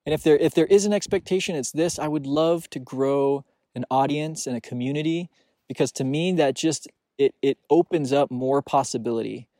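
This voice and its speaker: A man's voice: a little high, mellow, no room sound, studio quality. A man hosting his own podcast, talking through his thoughts in a reflective solo monologue with a soothing voice, often stopping to restart, recorded outdoors on a mountain hike. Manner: halting, searching, with many restarts and fillers. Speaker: podcast host.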